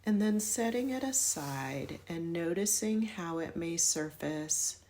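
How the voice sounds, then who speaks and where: A woman with a low voice leads a guided meditation. She speaks very slowly, laying out each instruction in measured phrases. Her direction is gentle and instructional, in the style of yoga nidra. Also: expressive.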